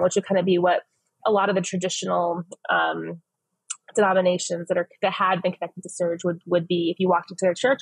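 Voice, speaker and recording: A woman in her forties from Phoenix answers interview questions earnestly, with a husky, gravelly voice in a lowish register. She talks in long, winding sentences full of fillers and restarts. She is heard through earbuds on a video call, in a dry sound. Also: no room sound, rough recording. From West Phoenix, United States. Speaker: ministry leader, guest. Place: home office, indoors.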